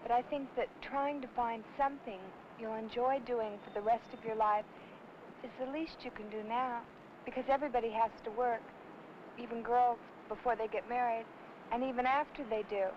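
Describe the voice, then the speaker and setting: A woman's voice: fairly high pitch, steady tone, moderate pace. A young woman earnestly stating her opinion. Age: teens or young adult. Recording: dull old film, faint vehicle noise.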